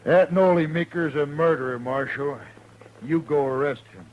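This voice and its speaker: An actor playing a grumbling, insistent frontier hide dealer in a radio western, with a folksy rural American accent. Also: male voice, on the high side, normal speed, highs cut off, natural voice. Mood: accusing. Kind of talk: 1950s radio western acting. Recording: lightly noisy old radio recording.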